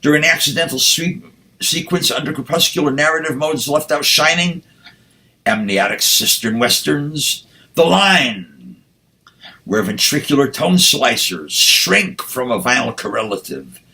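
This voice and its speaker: Slow-paced man with a bright, forceful voice. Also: medium pitch, big pitch swings. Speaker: poet. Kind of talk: spoken introduction and poetry recitation.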